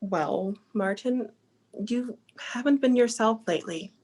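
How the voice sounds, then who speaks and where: A woman acts a gentle companion in a 1940s radio drama. The voice is somewhat high, and the delivery is soothing, earnest and reassuring. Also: unhurried. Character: Helen, gentle, reassuring companion of troubled musician.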